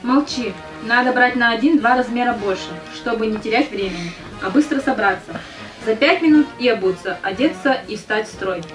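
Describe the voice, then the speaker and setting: A woman's voice: a little high, moderate pace, close to an everyday voice. A woman in an audio play acting a practical, dutiful young female soldier with a matter-of-fact delivery. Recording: roomy echo, music behind.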